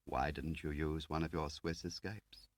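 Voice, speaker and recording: A man reading the part of an ageing spymaster, quiet, courteous, precise and probing, in a spy audiobook. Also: extremely low pitch, little pitch movement, unhurried, dry recording. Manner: understated, precise, questioning.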